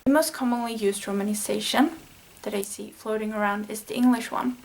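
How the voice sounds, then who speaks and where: A woman's voice: mid-range voice, steady tone, a little fast, creaky, slight background noise, rough recording. A Swedish-speaking woman explaining language study in a casual, earnest vlog lesson, thinking aloud with small fillers. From Sweden. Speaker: language learning vlogger.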